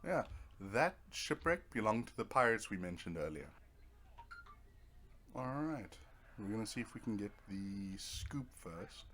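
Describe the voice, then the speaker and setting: A man's voice: on the low side, big pitch swings, unhurried, fairly bright tone, a little hiss or hum. A man giving casual let's play commentary as a gaming video host, laid-back and muttering asides to himself.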